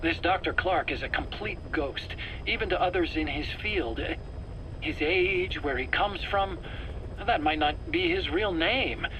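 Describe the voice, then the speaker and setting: An actor playing a nervous, eager scientist under interrogation in a video game, self-justifying in an earnest, explanatory, pleading way. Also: male voice, fairly high pitch, a little slow, close to an everyday voice. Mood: secretive, insistent. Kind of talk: dramatic video game voice acting. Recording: faint background noise, cassette tape interrogation.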